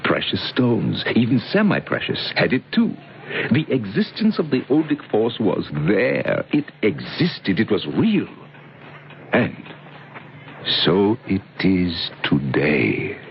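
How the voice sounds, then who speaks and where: An actor playing a persuasive professor who ardently believes in mystical forces, courtly and insistent, in old-time radio drama style. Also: male voice, on the low side, wildly swooping pitch, takes it slowly, gravelly, exaggerated voice. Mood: fervent lecturing. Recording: muffled radio recording with noise.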